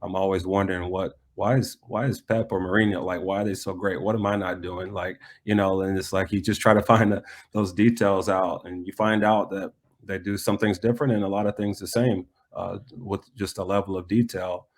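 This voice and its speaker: An American man talking reflectively in a conversational interview, often pausing mid-thought to restate himself. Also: sits low, flat delivery. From United States. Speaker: soccer coach being interviewed.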